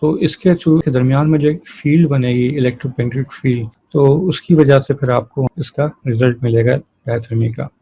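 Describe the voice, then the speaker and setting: A man's voice: medium pitch, fairly even intonation, normal speed, few pauses, warm tone, breathy edge, poor audio. A man lecturing in an explanatory, didactic way, mixing technical terms with plain explanation. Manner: didactic, reads terms out then explains them. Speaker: physiotherapy lecturer.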